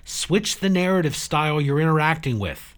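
A man voicing an eager, forgetful woman radio operator in a story, speaking in simple excitable phrases. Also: a little high, normal speed, pitched above the performer's own voice, natural voice. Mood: instructive.

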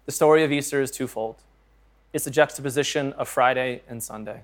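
A man's voice: medium pitch, a little fast. A man preaching a sermon as pastor, earnest and conversational, reading scripture aloud and joking about his own slips.

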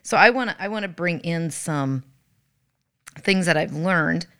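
Low-voiced, slightly raspy woman. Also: unhurried, fairly bright tone. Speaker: podcast co-host, hospice worker. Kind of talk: conversational podcast talk.

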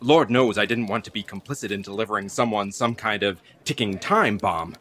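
Hapless young delivery carrier, lowish voice, wry theatrical storyteller, anxious, audio drama narration. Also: male voice, fairly quick, fairly bright tone. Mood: uneasy, suspenseful. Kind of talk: dramatic first-person narration in an audio play.

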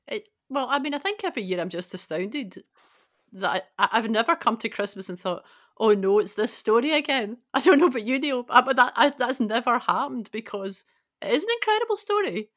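A woman's voice: a little high, animated, fairly quick, fairly bright tone. A woman speaking as a podcast panellist in casual, reflective discussion.

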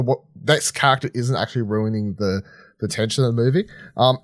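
A man's voice: mid-range voice, dry recording, clean studio sound. A man co-hosting a podcast, giving a casual, rambling review full of filler phrases like "sort of". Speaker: podcast co-host reviewing films.

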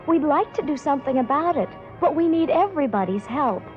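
A woman's voice: sits high, animated, a little fast. A woman making a plain-spoken appeal in a public service announcement. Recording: dull lo-fi radio spot over music. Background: music.

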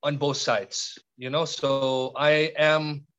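A middle-aged man from Canada, a podcast guest speaking in a reflective, halting way with frequent restarts and fillers. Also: a little high, little pitch movement, fairly bright tone, smooth, muffled recording. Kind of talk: reflective conversational interview.

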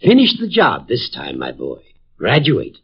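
A pompous old dean. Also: male voice, fairly low pitch, huge pitch swings, a little slow, breathy edge, exaggerated voice. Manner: pompous, lecturing, talks over others. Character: pompous, absent-minded old college dean. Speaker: college dean. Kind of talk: 1950s radio drama acting. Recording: dull lo-fi 1950s radio broadcast.

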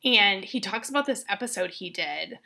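A woman's voice: medium pitch, expressive, vocal fry, bright, forceful tone, a little hiss or hum. A young woman co-hosting a podcast in casual chat, playful and teasing. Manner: playful, teasing, quick back-and-forth. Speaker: podcast co-host.

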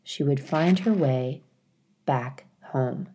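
A woman reading aloud as a storyteller, in an expressive style suited to a children's audiobook. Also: very deep voice, slow pace, a little hiss or hum. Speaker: story reader, school staff.

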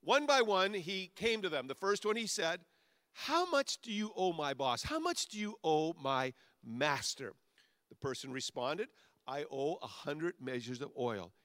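A man's voice: fairly high pitch, sing-song, measured pace, a bit bright, no room sound, clean studio sound. A male pastor preaching a sermon in a conversational way that builds to emphatic peaks.

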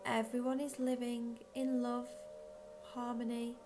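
A woman narrates a guided visualisation in a slightly high-pitched voice. She speaks very slowly and gently, leaving long pauses between phrases. Faint music plays behind her. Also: level tone. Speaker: guided meditation leader.

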